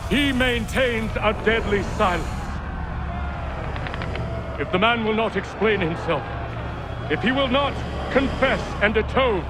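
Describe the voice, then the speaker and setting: An actor playing a solemn, pompous colonial orator in a video game, declaiming grandly as if to a public gathering. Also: male voice, sits high. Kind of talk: dramatic video game acting.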